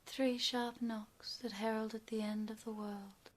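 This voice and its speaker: An actress plays a wistful young woman looking back on childhood in a radio play. Her voice is fairly high, with clear rain noise behind it. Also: flat delivery, takes it slowly. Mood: wistful, ominous.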